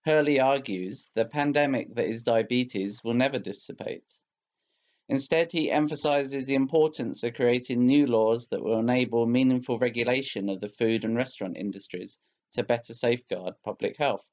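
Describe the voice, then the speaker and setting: A man's voice: medium pitch, a little mellow. A man reading a scripted political commentary in a formal, polemical manner on a slightly dull recording.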